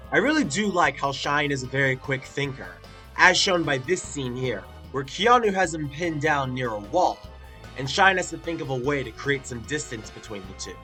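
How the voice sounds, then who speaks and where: A man's voice: medium pitch, animated, normal speed, sharp tone, faint music behind. A man giving a casual spoken review with a stuffy, congested nose, chatty and full of filler words. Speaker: video reviewer.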